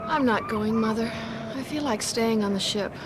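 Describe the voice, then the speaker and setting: An actress playing a listless, reluctant daughter in a radio mystery drama. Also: female voice, fairly high pitch, normal speed, background noise, close to an everyday voice. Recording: music behind a radio drama.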